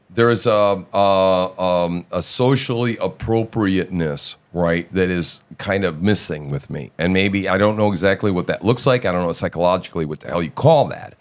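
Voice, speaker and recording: A man with a fairly low voice hosts a live audio talk show. He speaks casually and emphatically, swears freely, and keeps checking in with his listeners.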